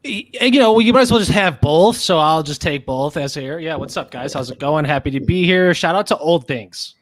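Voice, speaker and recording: A man's voice: fairly high pitch, lively intonation, no room sound. A man in his late twenties, playful and chatty on a podcast. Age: 20s. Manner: playful, quick interjections. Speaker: podcast co-host. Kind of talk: casual podcast chat.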